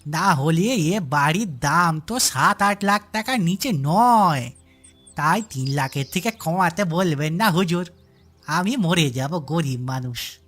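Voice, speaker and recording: Man acting a slow, wheedling village seller. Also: a little high, animated, fairly bright tone, soft background music, heavily acted. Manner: drawn-out, folksy, wheedling. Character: wheedling rustic house seller, pleading poverty.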